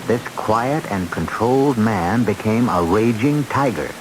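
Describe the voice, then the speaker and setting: A man acting as the ominous host and narrator of a radio mystery, theatrical and deliberate. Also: on the low side, lively intonation, background noise. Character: ominous, measured storyteller of suspense tales. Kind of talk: scripted old-time radio narration. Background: loud music.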